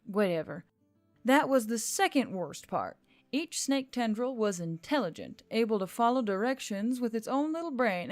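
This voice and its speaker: A woman's voice: mid-range voice, no room sound. A woman reading scripted fiction as an audiobook narrator. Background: music.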